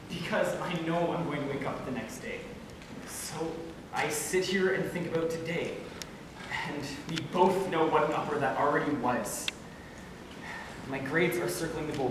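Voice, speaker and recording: A man plays a sleepless high school student, gloomy and self-mocking. The monologue is subdued, dry and halting, picked up from far away in a very echoey hall with clear noise. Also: natural voice. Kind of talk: stage monologue.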